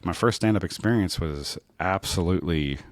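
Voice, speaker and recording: Very deep-voiced man telling stories casually. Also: normal speed, dry recording. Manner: rambling, repeats phrases. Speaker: podcast host and beginner stand-up comic. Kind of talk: casual personal storytelling.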